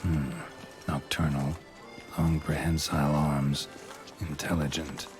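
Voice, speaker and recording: An actor playing a gruff, stoic monster hunter in a video game, with a low gravelly voice and terse, deadpan delivery. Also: male voice, slow, over music. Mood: businesslike, stoic. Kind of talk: video game character acting.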